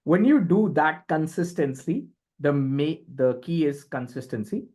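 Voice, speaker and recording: A man's voice: on the high side, expressive, a little slow, a little mellow. Middle-aged Indian-accented man, emphatic explainer. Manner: emphatic, checks in often with short tag questions. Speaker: podcast guest, communication and leadership expert. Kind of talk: conversational explanation with examples.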